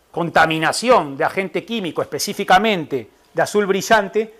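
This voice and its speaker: A middle-aged Argentine man lectures on nutrition with an Argentine Spanish accent. His voice sits fairly high for a man as he explains each point patiently. He keeps checking in with the room through quick asides. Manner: explanatory, checks in with the audience by asking "¿verdad?" and "¿eh?". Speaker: nutritionist giving a public talk. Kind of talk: informal lecture to a live audience.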